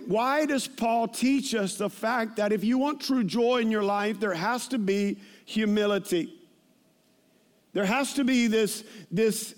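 A man in his fifties preaches in a notably high-pitched voice. His delivery is informal and conversational, like someone telling personal stories from the pulpit, and he often repeats phrases to drive them home. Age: 50s. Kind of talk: conversational sermon with personal anecdotes.

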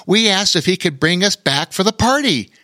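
Storybook princess girl, played by a man: friendly, eager, raised pitch, bright tone. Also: expressive, normal speed, no echo, clean studio sound. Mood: excited. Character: friendly, excited young princess girls.

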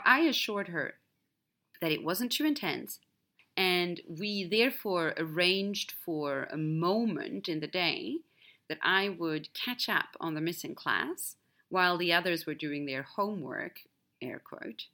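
A bright, slightly low-pitched woman speaks English as a second language; her native tongue is Swedish. She tells a personal story candidly, in a reflective monologue with big swings in emphasis. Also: unhurried. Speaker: podcast host.

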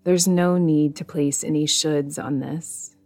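Female meditation guide, low register, soothing and measured, gentle music underneath. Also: fairly even intonation. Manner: soothing, measured delivery with long pauses. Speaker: meditation guide, podcast host.

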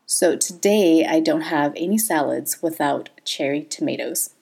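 Low husky woman in her thirties. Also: a little slow, darkish tone. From Thailand. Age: 30s. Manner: candid, laughs often. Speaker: podcast host. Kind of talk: personal podcast monologue.

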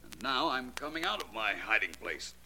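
A man playing a suave, self-assured amateur detective in 1940s radio style, crisp, theatrical and knowing. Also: mid-range voice, very animated, moderate pace, noisy background, lightly acted. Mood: confident, knowing. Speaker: radio actor in the lead detective role.

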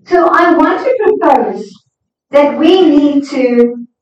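High-voiced woman preaching in an echoey hall. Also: takes it slowly, a little mellow. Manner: emphatic, exhorting. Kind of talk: sermon to a congregation. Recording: echoey hall, distant, slightly dull sound.

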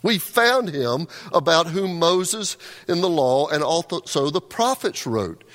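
A man's voice: fairly high pitch, very animated, takes it slowly, fairly bright tone. A male pastor delivering a conversational sermon, often repeating words as he restarts a thought. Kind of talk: sermon with scripture reading. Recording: long hall reverb.

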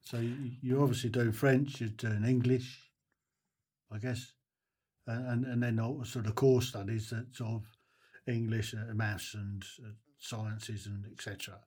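A man interviewing casually, asking questions in a halting, hesitant way that tends to trail off. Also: medium pitch, little pitch movement, darkish tone, breathy edge.

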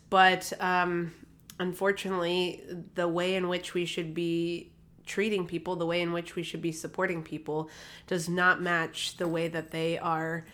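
Chatty podcast host with a stuffy nose. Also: female voice, on the low side, a bit bright. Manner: chatty, rambling with many fillers and restarts. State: slightly nasal, recovering from a cold. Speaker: podcast host, holistic health practitioner. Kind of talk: casual solo podcast talk. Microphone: podcast microphone.

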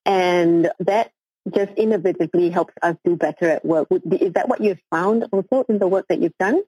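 A woman's voice: on the low side, normal speed, warm tone, slightly rough, dry recording, low-quality recording. A woman hosting a podcast, asking questions in a hesitant, friendly way with plenty of fillers. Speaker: podcast host. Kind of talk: casual interview.

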